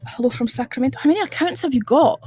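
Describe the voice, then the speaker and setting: A woman's voice: high-pitched, rapid-fire, dark tone, soft background music. A woman from Edinburgh with a Scottish accent, hosting a casual live stream and chatting bluntly and exasperatedly with viewers, swearing freely. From Scotland. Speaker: livestream host.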